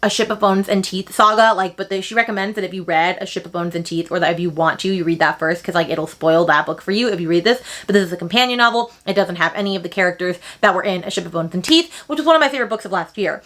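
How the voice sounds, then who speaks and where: A young woman with a bright, forceful voice talks casually as a book vlogger. She gushes in slangy, emphatic phrases, often repeating herself to drive a point home. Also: mid-range voice. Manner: gushing, slangy, emphatic repetition. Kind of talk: casual enthusiastic chat to camera.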